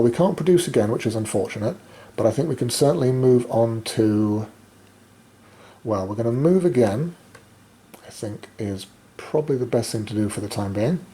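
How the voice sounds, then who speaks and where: A man with a fairly low, croaky voice commentates casually on his own solo board game. He reasons out loud and pauses hesitantly as he goes. There is some faint noise behind his voice. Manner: thinking aloud, hesitant pauses. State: croaky voice, coughing now and then. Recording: noticeable background noise.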